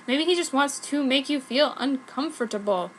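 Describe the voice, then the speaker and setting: Woman reading as a worried high-voiced schoolgirl friend. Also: moderate pace, natural voice. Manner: earnest, mournful advising. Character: worried, sensible teenage girl friend giving sad advice. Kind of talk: mock-dramatic fan fiction reading.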